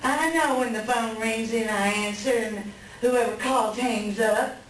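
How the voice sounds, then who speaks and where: A woman's voice: a little high, measured pace, lightly acted. A woman playing an upset, bitter wife venting about her cheating husband in a staged play reading. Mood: distraught, embarrassed. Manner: agitated, colloquial venting. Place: library room reading before an audience. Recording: very echoey room, distant mic, faint noise.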